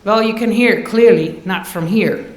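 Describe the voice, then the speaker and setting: A woman's voice: mid-range voice, a little slow, slight background noise. A middle-aged woman professor from the Netherlands, a native Dutch speaker with a Dutch accent, giving a lightly humorous commencement address. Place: large auditorium stage. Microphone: podium microphone. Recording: echoey hall, podium microphone.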